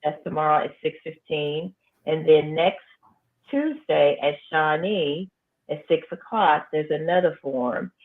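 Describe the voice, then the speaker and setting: A woman's voice: sits low, expressive, a little slow. Firm-spoken principal woman, tinny sound. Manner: firm, emphatic, plain-spoken. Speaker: high school principal. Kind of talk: interview conversation.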